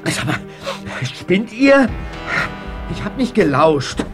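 A man's voice: sits high, sing-song, slow, heavily acted. An actor playing a defensive, cheeky young man in a German audio drama. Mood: indignant. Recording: music behind the voice.

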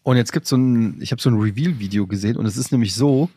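Podcast co-host, man, husky, dry close sound, wry storyteller. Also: mid-range voice, quick pace, warm tone, dry recording. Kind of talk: casual chat.

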